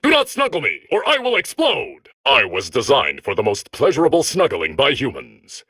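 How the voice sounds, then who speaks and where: A man's voice: mid-range voice, wildly swooping pitch, normal speed, pushed and bright, breathy edge, no room sound, full character voice. A man voicing a grandiose, menacing yet absurd cuddly robot in a comic video game, declaiming ominous commands. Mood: grandiose menace.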